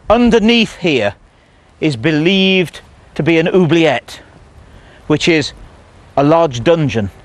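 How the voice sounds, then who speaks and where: A middle-aged man presenting to camera outdoors among castle ruins, measured and dropping low for the eerie parts. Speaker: ghost tour presenter.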